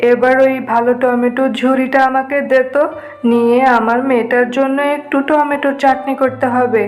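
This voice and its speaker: A woman's voice: high voice, level tone, moderate pace, faint music behind, natural voice. A woman voicing a haughty, stingy tigress mother in a cartoon, bossy and scornful. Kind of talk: cartoon voice acting.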